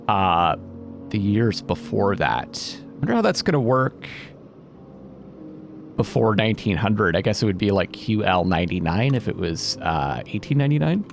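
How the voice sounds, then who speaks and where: Animated man musing through a game. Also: on the low side, vocal fry, a little hiss or hum. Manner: musing, often questioning himself. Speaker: let's play host. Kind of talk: casual gaming commentary, thinking aloud and reading out game text. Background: game music.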